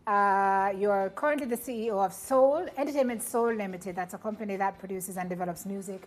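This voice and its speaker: A woman's voice: mid-range voice, fairly quick. A woman hosting a morning TV show, playful and teasing with her guest. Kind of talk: casual morning TV interview. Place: TV studio.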